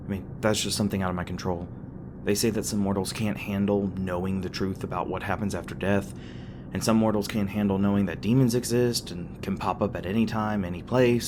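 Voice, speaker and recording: A man's voice: sits low, lightly acted. A man playing a teasing, crass but caring demon boyfriend in a conversational audio roleplay, talking casually with some hesitation. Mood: gentle, reassuring. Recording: clearly noisy background with ambient sound effects.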